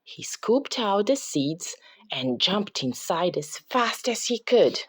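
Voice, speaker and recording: A woman reading a children's story aloud in an expressive, dramatic way, sometimes dropping toward a whisper for suspense. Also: medium pitch, slow pace, slight background noise. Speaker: storybook reader.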